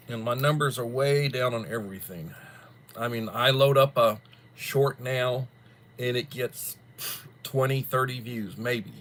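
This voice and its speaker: A man hosting a casual livestream, chatting to viewers while still stuffed up and coughing from the flu, in a rambling, drawn-out way. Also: medium pitch, bright, forceful tone. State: congested, coughing after flu. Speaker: livestream host. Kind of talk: casual chat greeting viewers.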